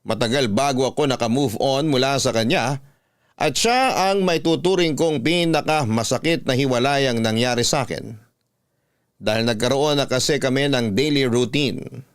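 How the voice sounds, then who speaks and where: A man with a Filipino accent giving sentimental, reflective first-person narration in measured phrases. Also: mid-range voice, smooth, dry recording, clean studio sound. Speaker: storytelling host and narrator.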